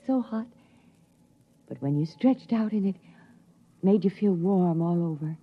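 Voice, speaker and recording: An actress playing a frail mother longing for the lost sun, with a breathy, trembling voice, in a 1950s radio drama. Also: lowish voice, lively intonation, a little slow. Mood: dreamy. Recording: dull 1950s radio broadcast.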